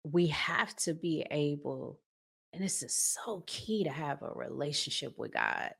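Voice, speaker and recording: Woman, low voice, unhurried pace, conversational opinion talk, preachy, colloquial, emphatic. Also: gravelly, fairly bright tone, breathy edge. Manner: emphatic, preachy, with rhetorical questions; informal, colloquial phrasing. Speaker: host of a relationship podcast.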